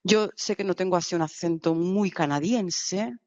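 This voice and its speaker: A woman giving live conference interpretation, halting at times with restarts and bursts of speed. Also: on the low side, darkish tone, no echo, highs cut off. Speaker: simultaneous interpreter.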